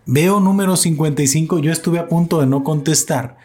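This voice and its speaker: A man's voice: fairly high pitch, fairly quick, warm tone. A young man from Mexico hosting a casual podcast chat, talkative and fond of trying out accents. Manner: chatty, playfully imitates accents. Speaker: podcast host.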